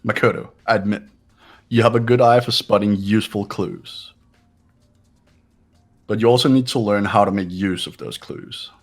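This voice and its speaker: An amateur actor voicing a haughty, condescending young man in a visual novel game, speaking formally and pompously with a husky voice. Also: lowish voice, fairly even intonation, moderate pace, long pauses, soft background music. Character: haughty, sneering young male intellectual rival. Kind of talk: fan-dubbed visual novel game acting.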